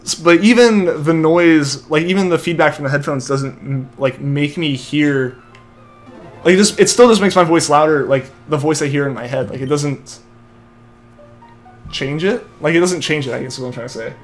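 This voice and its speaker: A man's voice: on the high side, moderate pace, soft background music. A young man streaming a game, chatting casually and reading out viewer comments, his speech full of "like" and trailing restarts. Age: young adult. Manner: rambling, filler-heavy, keeps saying like. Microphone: headset mic feeding back to headphones.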